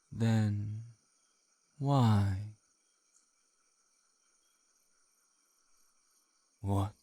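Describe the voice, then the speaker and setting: A man's voice: lowish voice, warm tone, dry recording, heavily acted. A man playing a lovelorn shapeshifting monster posing as a friend in an intimate audio roleplay, speaking in an earnest, hesitant, pleading way. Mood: resigned, bewildered. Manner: hesitant, halting, emotionally pleading.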